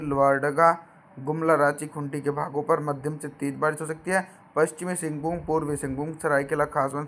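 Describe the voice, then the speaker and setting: A hoarse man presenting a regional weather forecast, steadily listing place names and addressing viewers as friends. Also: on the high side.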